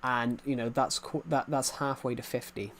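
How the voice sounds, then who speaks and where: A young man in his twenties talks loosely while he plays, circling back over the same phrases and answering viewers as he goes. His voice sits at a middling pitch, picked up by a desk mic with a faint hiss of noise behind it. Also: fairly even intonation, normal speed, a bit bright. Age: 20s. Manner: rambling, repeats himself, answers chat. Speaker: gaming streamer. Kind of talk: casual live-stream chat while playing.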